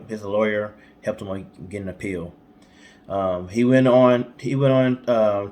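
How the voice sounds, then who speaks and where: A man with a lowish voice hosts a storytime show. He tells the story in an informal, colloquial way and slips in casual remarks as he goes. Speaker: co-host of a storytime guessing-game show. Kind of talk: storytelling from notes with casual banter.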